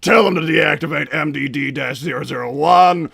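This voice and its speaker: A man playing a pompous, irritable cartoon villain scientist and doting father, raspy and theatrical, bantering in character on a livestream. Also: a little high, moderate pace, a bit bright, exaggerated voice. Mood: exasperated. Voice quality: raspy, gravelly. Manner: theatrical, blustering, stammers when flustered. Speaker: livestream host reading donations.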